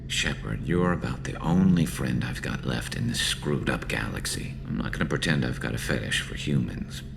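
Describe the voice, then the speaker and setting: A man voicing a loyal alien soldier in a video game, gravelly, halting and self-deprecating with dry, nervous humour. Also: extremely low pitch, full character voice. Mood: sincere. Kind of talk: video game dialogue acting.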